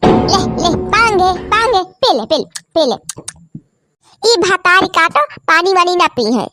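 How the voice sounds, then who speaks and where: A woman's voice: very high-pitched, very animated, measured pace, over loud music, exaggerated voice. A woman voicing folksy, dramatic village women in a cartoon comedy, with an Awadhi accent and a childlike voice. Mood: scolding, grumbling. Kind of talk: cartoon comedy dialogue in rural dialect.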